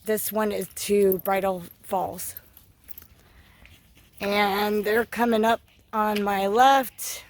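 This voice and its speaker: A hoarse-voiced woman talks through a casual hiking vlog, walking her dogs outdoors along a wooded trail. She speaks into a handheld phone in a middle-pitched voice, rambling along with frequent ums. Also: flat delivery, drawn-out words. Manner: chatty, lots of ums, talking while walking dogs. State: hoarse from a cold. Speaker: hiking vlogger.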